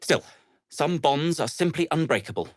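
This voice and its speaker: An actor playing an earnest, self-deprecating gnome adventurer in a video game, hesitant and wry, with formal, wordy phrasing. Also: male voice, a little high, animated, normal speed, no echo, clean studio sound. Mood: rueful, sincere. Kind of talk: video game character dialogue.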